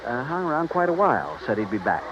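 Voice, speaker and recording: A man's voice: medium pitch, sing-song, fast, lightly acted. A male actor playing a polite, slightly fussy and hesitant hotel desk clerk in an old radio drama. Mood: courteous. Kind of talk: 1950s-60s radio drama acting. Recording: muffled, noisy old-time radio recording.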